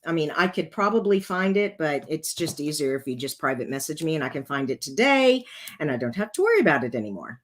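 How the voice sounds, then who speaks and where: A woman with a rough, congested voice hosting a casual card-making tutorial, chatting her way through each step as she works. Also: deep voice, big pitch swings, fast talker.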